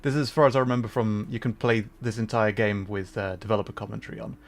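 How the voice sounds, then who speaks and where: A man's voice: fairly low pitch, fairly even intonation, fast. A man casually chatting on a gameplay livestream, laughing often and explaining game trivia. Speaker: streamer.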